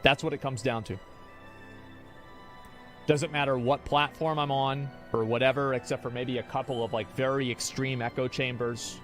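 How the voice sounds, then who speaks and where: Streamer type, young man, argumentative, rambling, mid-range voice, low music bed. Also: fairly even intonation, moderate pace, a bit bright. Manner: rambling, argumentative, restarts often. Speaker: streamer reacting to chat. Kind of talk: casual opinion talk.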